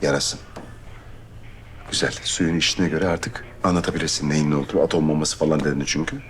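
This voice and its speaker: An actor playing a sarcastic young police commissioner in a TV drama, teasing and deadpan. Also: male voice, extremely low pitch, quick pace, a little hiss or hum. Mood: dry. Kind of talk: TV series acting. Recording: music score under dialogue.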